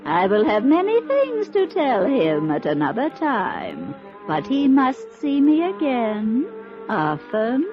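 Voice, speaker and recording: Phony grand spirit medium lady, slow swoopy trance talk, 1950s radio play. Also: on the high side, huge pitch swings, full character voice. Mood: trance-like, mysterious. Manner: grandiose, incantatory, drawn-out trance delivery. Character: theatrical middle-aged woman spirit medium holding a séance. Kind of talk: 1950s radio drama acting. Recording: dull vintage radio recording, faint music.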